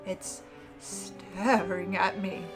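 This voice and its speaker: A woman's voice: fairly low pitch, fairly even intonation, extremely slow, over music. A woman playing a frightened, pleading young girl in a ghost story reading. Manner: fearful, pleading.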